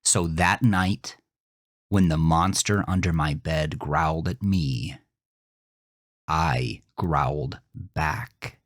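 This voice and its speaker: A man reading a scripted first-person horror story in a measured, deliberate, dramatic way. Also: extremely low pitch, no echo, clean studio sound. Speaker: narrator of a horror fiction podcast.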